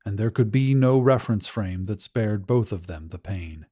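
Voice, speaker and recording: A man's voice: fairly low pitch, expressive, moderate pace, dark tone, breathy edge. A man narrating a scripted short story as an audiobook reader.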